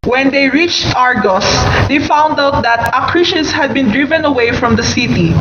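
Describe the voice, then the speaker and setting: A woman's voice: high voice, moderate pace, loud music behind the voice. A woman narrating a scripted radio play as a storyteller, on an echoey, muffled, noisy recording.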